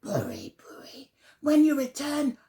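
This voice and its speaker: A woman voicing a menacing, hungry fox in a children's story, with hissed, breathy, drawn-out threats. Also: sits high, wildly swooping pitch, dark tone, pitched above the performer's own voice, full character voice.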